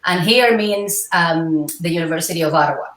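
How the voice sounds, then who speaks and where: A woman's voice: on the low side, a little fast, a bit bright. A Cuban-born woman in her forties, a scientist and healer, speaking thoughtfully in an interview with many hesitations. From Cuba. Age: 40s. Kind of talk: interview conversation. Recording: echoey room, far from mic.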